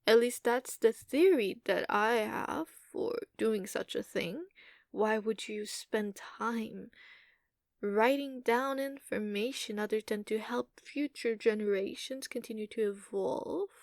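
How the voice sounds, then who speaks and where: A woman voicing an ageless giantess dragon in an ASMR roleplay, haughty, doting and possessive, teasing with condescension and drawn-out hums. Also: fairly high pitch, dry recording, studio quality. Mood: haughty, musing.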